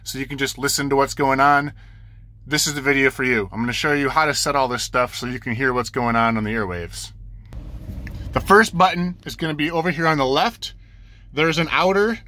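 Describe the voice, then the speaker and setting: A man's voice: mid-range voice, sharp tone, no echo. A man giving a casual, off-the-cuff tutorial as an instructor, explaining things plainly step by step and repeating points for beginners.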